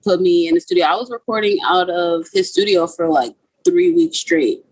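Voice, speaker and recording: A woman's voice: lowish voice, very animated, normal speed, a little mellow, breathy edge, no echo, poor audio. A young woman from Guyana, a musician guest chatting casually on a video call interview, with a laid-back manner full of "like" and "you know".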